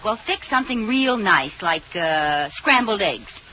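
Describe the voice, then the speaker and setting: A woman's voice: medium pitch, animated, measured pace, lightly acted. An actress playing a playful, scheming young wife in a 1940s radio sitcom, coaxing her husband. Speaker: lead actress. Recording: muffled old radio broadcast with hiss.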